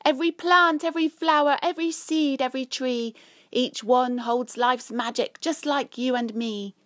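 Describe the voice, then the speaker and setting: Springtime pixie for a children's tale played by a female reader. Bright-spirited, high, bouncy, chanting in rhythm. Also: fairly even intonation, moderate pace, no room sound, studio quality. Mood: joyful chanting. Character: lively, loving springtime pixies chanting and dancing.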